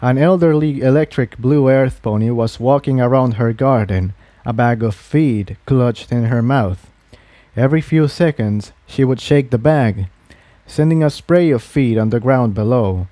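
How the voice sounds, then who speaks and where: A man's voice: medium pitch, a little slow, warm tone, no echo. A man reading a fantasy adventure story aloud as its narrator. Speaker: fan fiction narrator.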